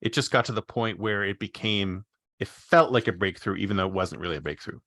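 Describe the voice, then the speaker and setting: A man's voice: lowish voice, a little fast, sharp tone, no room sound, studio quality. A man hosting a podcast, asking friendly, chatty questions over a video call. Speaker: podcast host. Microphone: video call microphone.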